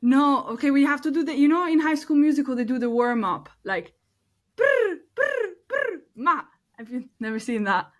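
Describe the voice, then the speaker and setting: Woman, podcast host, bubbly, giggly, fairly high voice, casual chat. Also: expressive, normal speed.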